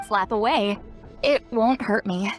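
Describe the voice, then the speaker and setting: A woman's voice: mid-range voice, unhurried, soft background music, close to an everyday voice. A woman playing a blunt, self-assured, protective female spellcaster in a video game. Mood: blunt, unbothered.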